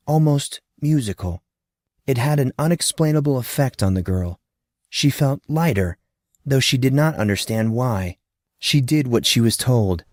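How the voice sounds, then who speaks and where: Guy narrating a fantasy audiobook, suspenseful short phrases, lively pitch, dry recording. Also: no echo.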